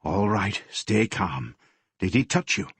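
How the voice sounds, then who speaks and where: A man voicing a strict, unbending police detective, firm and controlled as he questions his daughter. The voice is husky and dry, sitting close with no room echo. The pitch moves a lot despite the restraint. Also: sing-song, takes it slowly, a bit bright, heavily acted. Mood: concerned, firm. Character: strict, stern middle-aged police detective father.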